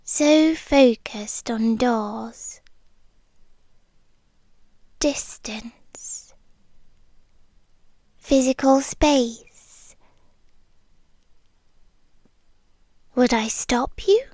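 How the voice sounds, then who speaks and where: A British woman voicing a possessive, centuries-old creature girlfriend in an intimate ASMR roleplay, soft-spoken and often whispering, close to the microphone. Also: a little high, no room sound. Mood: calm, knowing. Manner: slow, intimate, deliberate pauses, slipping into whispers. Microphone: close, dry microphone.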